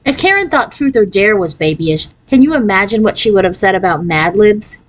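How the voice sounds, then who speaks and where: A woman's voice: medium pitch, lively intonation, brisk. Woman reading a children's novel aloud. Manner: acts out dialogue in character voices. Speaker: audiobook-style reader. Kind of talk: children's novel read aloud, scripted narration.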